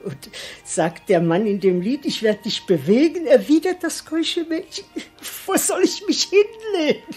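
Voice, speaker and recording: An actress playing a gushing, bawdy, excitable country servant in a 1960s radio comedy scene, effusive and rapturous. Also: female voice, high voice, faint music behind. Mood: amused, swooning. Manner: effusive, rapturous, drawn-out delivery. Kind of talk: 1960s German radio drama acting.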